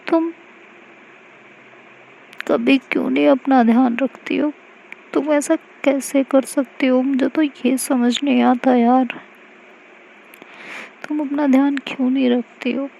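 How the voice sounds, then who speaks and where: A woman's voice: sits high, steady tone, normal speed, vocal fry, a little mellow, slight background noise, pitched above the performer's own voice. A woman voicing a young woman who cries as she scolds her partner for not looking after herself. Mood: tearful scolding. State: crying. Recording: slightly dull lo-fi recording.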